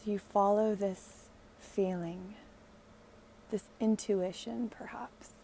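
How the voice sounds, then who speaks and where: A woman leading a guided meditation in a gentle, hushed, soothing way, leaving long pauses. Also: medium pitch, steady tone, gravelly, a little hiss or hum, rough recording.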